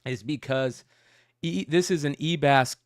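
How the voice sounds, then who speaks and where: A man hosts a casual comic news show, rambling through the news and reading out a message in his plain voice. His voice is gravelly yet smooth and resonant, at a middle pitch. He sounds close to the mic in a dry, dead room. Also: unhurried, dry recording. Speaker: host.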